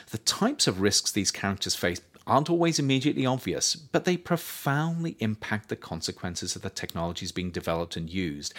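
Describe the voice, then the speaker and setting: Low-pitched man narrating, lively intonation. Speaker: author reading own book. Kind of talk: scripted audiobook narration.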